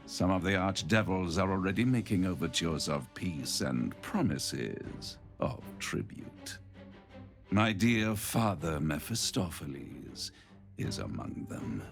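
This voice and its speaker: An actor playing a suave, scheming devil in a video game, silky, grandiose and menacing, with music underneath. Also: male voice, a bit bright, exaggerated voice. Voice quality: low, gravelly. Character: suave, scheming, gloating male devil making bargains. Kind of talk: dramatic video game acting.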